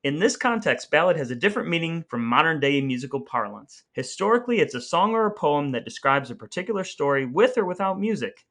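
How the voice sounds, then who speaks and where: A man's voice: a little high, very animated, fast, hardly any pauses, fairly bright tone. Chatty man hosting a podcast. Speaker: podcast co-host. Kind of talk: casual chat with some reading aloud from an article.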